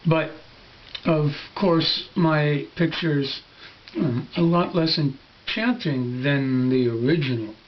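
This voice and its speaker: A man reading a storybook dramatically, in a halting, musing, stammering manner. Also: on the high side. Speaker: storybook reader. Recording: dull home recording with faint hiss.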